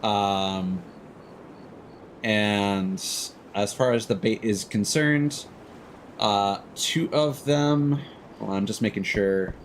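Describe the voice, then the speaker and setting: A man's voice: fairly low pitch, takes it slowly, a little hiss or hum. A man hosting a game livestream, giving rambling, opinionated explanations in a casual, chatty way.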